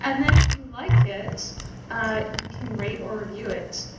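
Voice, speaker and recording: A woman's voice: a little high, measured pace. A young woman giving an informal speech as host, casual, hesitant and a little self-deprecating. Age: young adult. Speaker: podcast producer hosting launch event. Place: small theatre stage, indoors. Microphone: stand mic, picked up by distant camera. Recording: very echoey, noisy hall from far off.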